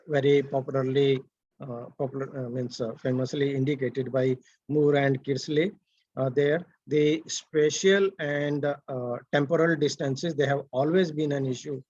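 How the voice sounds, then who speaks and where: A man gives an online academic presentation, speaking haltingly with many fillers and restarts. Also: mid-range voice, darkish tone, no room sound. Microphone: video call. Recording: dull, dry video call audio.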